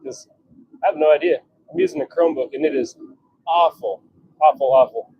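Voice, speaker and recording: A man's voice: fairly high pitch, fairly even intonation, brisk, slightly rough, narrow, tinny audio. A man giving casual livestream commentary as he works, muttering short, wry remarks to himself and his viewers. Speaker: livestream host forging knives. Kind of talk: casual livestream chat and running commentary while working. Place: blacksmith workshop with forge.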